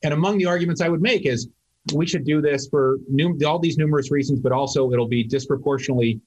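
A man's voice: on the high side, fast talker, darkish tone, slight background noise, poor audio. A man who is a county council member, answering interview questions hesitantly with many fillers.

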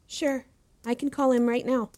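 A woman's voice: high voice, level tone, moderate pace, darkish tone, natural voice. An actress playing a hesitant, anxious young female student in an audio drama, tentative and deferential. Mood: tentatively agreeable. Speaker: actor in a fiction podcast.

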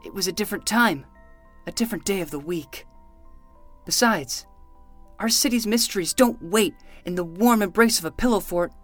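A woman's voice: medium pitch, normal speed, no room sound, soft background music, lightly acted. A woman voicing an earnest twelve-year-old boy who plays private investigator, delivering lines with solemn, melodramatic detective flair in a comedy audio drama. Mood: dramatic.

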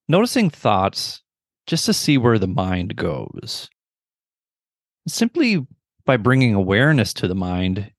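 A middle-aged man leading a guided meditation with measured, gentle, instructional phrasing and pauses between phrases. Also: fairly low pitch, no echo, clean studio sound.

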